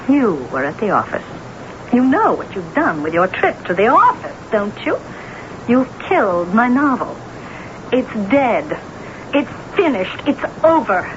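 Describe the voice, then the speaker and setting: An actress in an old radio drama playing an imperious, high-strung woman novelist with a theatrical, scornful, biting delivery. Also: noisy background. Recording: muffled lo-fi radio drama tape.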